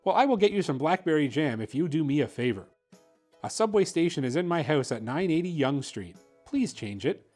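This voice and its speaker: Young storybook boy, voiced by a man: earnest, plucky, matter-of-fact, raised pitch, music behind. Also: very animated, a little fast, darkish tone. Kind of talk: children's storybook character reading.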